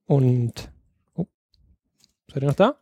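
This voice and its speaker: A man's voice: mid-range voice, animated, slow, warm tone, dry recording, clean studio sound. A man chatting casually as a podcast co-host, loose and slangy, swearing now and then over a remote call. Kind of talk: casual tech chat.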